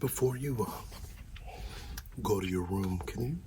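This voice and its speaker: A man doing a casual ASMR roleplay as an easygoing, supportive friend, talking softly in a laid-back buddy way. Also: lowish voice, measured pace, background noise. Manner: soft-spoken, half-whispered, laid-back buddy talk.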